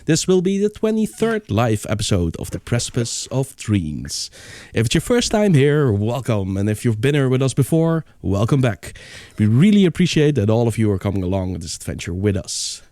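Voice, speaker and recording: A man's voice: medium pitch, very animated, moderate pace, warm tone, no echo. A man hosting a tabletop game livestream, chatty and upbeat, still recovering from a cold. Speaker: host and game master. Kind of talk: casual livestream intro.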